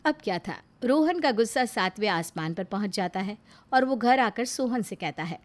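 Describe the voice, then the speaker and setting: A woman's voice: mid-range voice, lively intonation, normal speed. A woman narrating a scripted family story as a storyteller.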